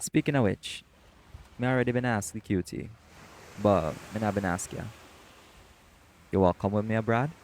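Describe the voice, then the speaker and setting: Man playing creaky-voiced Caribbean shifter boyfriend. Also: on the low side, normal speed, long pauses, a little mellow, slight background noise, lightly acted. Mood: teasing, insistent. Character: teasing, protective, encouraging male shapeshifter boyfriend. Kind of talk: romantic audio roleplay acting.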